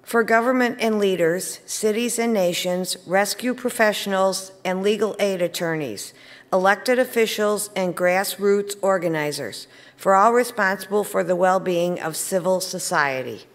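A woman's voice: medium pitch, measured pace. Woman reading prayers in a reverberant church. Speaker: lay reader. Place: church sanctuary, indoors, large. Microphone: lectern mic, far camera.

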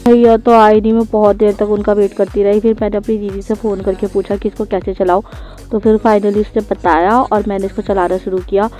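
A woman's voice: medium pitch, little pitch movement, fairly quick, dark tone. A woman, giving a casual, chatty voice-over for a daily vlog. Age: 20s. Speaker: vlogger. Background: faint music.

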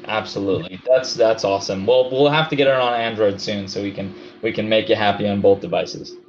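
A man's voice: lowish voice, steady tone, fairly quick, slight background noise. A man from Hawaii chatting casually as a podcast guest, upbeat and agreeable, over muffled remote-call audio. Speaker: podcast guest, app company CEO. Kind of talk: casual interview chat.

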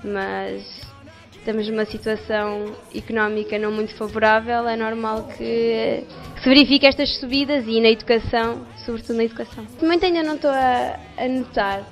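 A woman's voice: a little high, steady tone, fairly quick, faint music behind. A young adult woman with a Portuguese accent, a university student giving a spontaneous vox pop answer.

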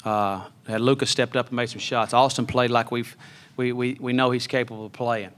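A man's voice: mid-range voice, fairly even intonation, moderate pace, fairly bright tone. A middle-aged man, a basketball head coach, talking through a loss at a postgame press conference with many fillers and restarts into a table mic. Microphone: table mic on a stand.

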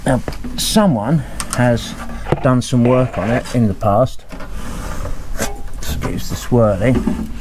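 A man's voice: mid-range voice, takes it slowly, noisy background. A man, casually narrating as he inspects an old radio in his workshop, thinking aloud with frequent ums and hesitations. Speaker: radio restorer. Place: cluttered home workshop, indoors.

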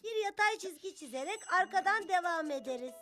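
A woman's voice: very high-pitched, animated, brisk, sharp tone, soft background music, heavily acted. A woman voicing a cheerful, helpful young animal in a children's cartoon dub, explaining things in a friendly, step-by-step way. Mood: patiently explaining.

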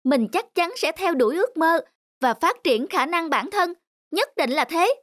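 A woman's voice: extremely high pitch, expressive, normal speed, a bit bright. A woman voicing a timid, earnest young girl in a cartoon. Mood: determined. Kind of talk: animated cartoon dubbing.

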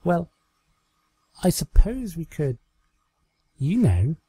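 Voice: mid-range voice, very animated, slow, mellow, husky, pitched above the performer's own voice, heavily acted. A performer voicing a coy, melodramatic female cartoon pony magician in a fan-fiction reading, with an overly dramatic delivery. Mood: hesitant.

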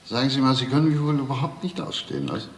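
A sly, lively-voiced police inspector. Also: male voice, mid-range voice. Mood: needling. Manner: dry, needling, unhurried questioning. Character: sly, calm, probing police chief inspector. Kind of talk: German crime radio drama acting.

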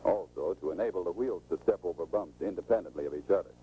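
Dark-toned 1940s American training film narrator, male. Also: low voice, fast. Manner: instructive, salesmanlike presentation. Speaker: automotive expert presenting a sales training film. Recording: noisy, muffled 1940s film soundtrack.

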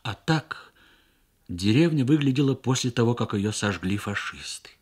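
Male radio reader, husky mid-pitched voice, measured literary narration, expressive, deliberate pauses.

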